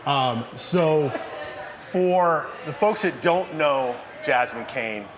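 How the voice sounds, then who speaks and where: A man co-hosts a casual interview in a slightly high voice. He talks loosely and relaxed, with plenty of fillers and easy laughs. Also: extremely slow, noisy background. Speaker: co-host.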